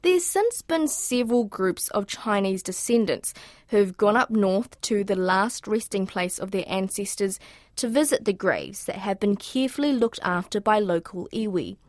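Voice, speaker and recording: A woman presenting a radio documentary story, narrating in a measured, clear way and interviewing guests. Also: medium pitch, animated, a little mellow, no echo, studio quality.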